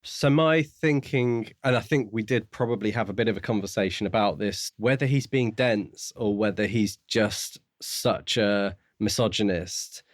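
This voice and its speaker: A man with a fairly low voice talks as a podcast co-host, recorded dry with little room sound. He is measured and courteous, explaining his thoughts in a casual book-chat style. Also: dry recording.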